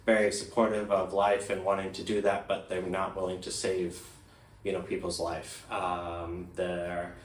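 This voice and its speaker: Male, American, low-pitched, reflective and halting interviewee, recorded in a reverberant room. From United States. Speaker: interviewee, migrant. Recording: echoey room, distant microphone.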